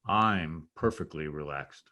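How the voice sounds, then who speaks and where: A man's voice: rumbling low, takes it slowly, pushed and bright, full character voice. A man playing a formal, suspicious householder in an old-time radio mystery, stiffly polite and controlled. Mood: guarded, cool. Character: formal, guarded husband, a suspicious armed householder. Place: home recording over video call.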